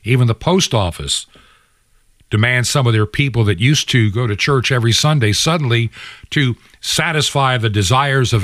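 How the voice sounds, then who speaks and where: A man's voice: fairly high pitch, a bit bright, dry recording, clean studio sound. A man hosting a radio program, delivering opinionated monologue commentary in an indignant, preachy manner with rhetorical questions and emphatic repetition.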